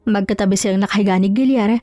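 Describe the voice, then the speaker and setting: A woman's voice: mid-range voice, quick pace, dark tone, dry recording, soft background music. A woman narrating a scripted dramatic love story as a storyteller.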